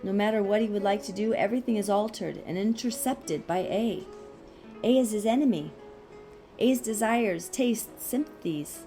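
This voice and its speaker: Mellow woman reading over soft guitar. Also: medium pitch. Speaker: audiobook reader. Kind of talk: scripted narration of a philosophical text.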